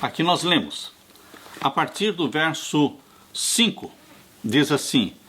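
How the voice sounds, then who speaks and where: A man in his sixties, a pastor, preaching an earnest and unhurried sermon that addresses his listeners tenderly as dear ones. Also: fairly high pitch, sing-song, sharp tone, a little hiss or hum. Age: 60s. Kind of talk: sermon on a Bible study lesson.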